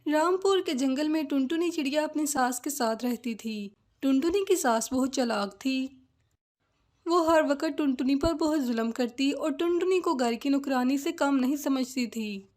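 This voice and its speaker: Woman narrator, high voice, scripted storytelling, children's cartoon. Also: normal speed, a little mellow.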